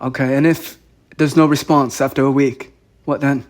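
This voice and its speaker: A man's voice: mid-range voice, flat delivery, moderate pace, darkish tone. A man calling in, earnest and insistent, often repeating himself. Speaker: caller. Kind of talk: phone call.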